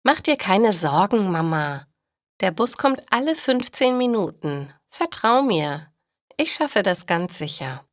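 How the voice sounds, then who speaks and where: A woman's voice: mid-range voice, big pitch swings, takes it slowly, no room sound, clean studio sound. A woman voicing a breezy, overconfident teenage schoolgirl in a storybook, carefree and reassuring. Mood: carefree, confident.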